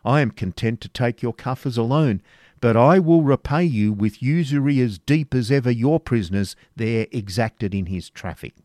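A man's voice: mid-range voice, animated, moderate pace, warm tone, no echo, clean studio sound, natural voice. Man playing a confident, teasing medieval knight. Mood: cool challenge. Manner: archaic, wry, provoking.

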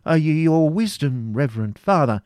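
A scheming preceptor who feigns deep humility, as a man acts him out in a storybook reading. He stammers in a high, strained register far above the reader's own voice. The sound is close and dry. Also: sing-song, moderate pace, warm tone, dry recording. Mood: flustered, humble. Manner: humble, flustered, stammering.

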